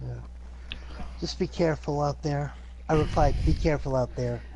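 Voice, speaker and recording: A man's voice: fairly high pitch, normal speed, a little mellow, slight background noise, poor audio. A man playing in a tabletop game, laid-back and hesitant in his replies. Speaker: player.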